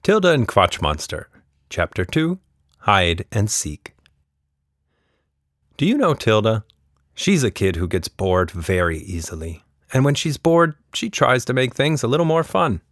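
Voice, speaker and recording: A male storyteller with a fairly low voice speaks to young listeners as a podcast host. He is playful and conspiratorial, as if sharing a secret with them. The recording is close and dry. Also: sing-song, unhurried, fairly bright tone, no room sound. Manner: playful, conspiratorial, addresses children directly.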